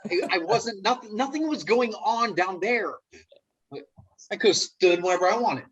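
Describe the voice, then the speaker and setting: Man playing a husky, awkward, exasperated guy. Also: high-pitched, expressive, moderate pace, fairly bright tone, dull audio, exaggerated voice. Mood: defensive. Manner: hesitant, stammering, indignant. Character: awkward, lovelorn, defensive man, easily exasperated. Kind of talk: play table read. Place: remote video call reading.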